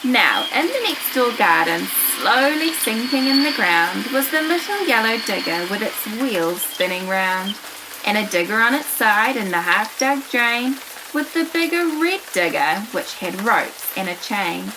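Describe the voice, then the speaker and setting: A woman with a New Zealand accent hosts a children's story show, reading a rhyming picture book aloud with animated emphasis and drawn-out key words. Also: on the high side, noisy background.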